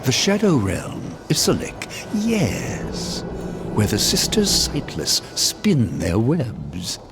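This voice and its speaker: A man's voice: mid-range voice, huge pitch swings, slow, over music, full character voice. A male actor playing a haughty, sly undead royal chancellor in a fantasy game, with a pompous, mock-courteous, theatrical drawl. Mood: smug, sly. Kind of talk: scripted fantasy game acting.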